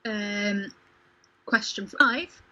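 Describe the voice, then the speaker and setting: A woman's voice: medium pitch, extremely slow, sharp tone. A woman hosting an informal quiz, reading out questions and answers in a chatty, casual way. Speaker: quiz host. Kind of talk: casual livestream quiz chat. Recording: home livestream video call.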